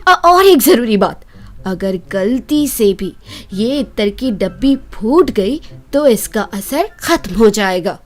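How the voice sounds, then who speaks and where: A woman's voice: a little high, lively intonation, slow pace, soft background music. A woman playing a kindly older aunt who explains and cautions earnestly in a children's moral story. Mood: cautioning.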